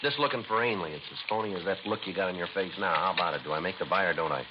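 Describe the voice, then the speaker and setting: A man acting and narrating as a terse, hard-boiled American police detective in a 1950s radio drama, clipped, deadpan and matter-of-fact. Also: low-pitched, rapid-fire, background noise, close to an everyday voice. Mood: pressing. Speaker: lead actor and narrator. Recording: muffled vintage 1950s radio recording.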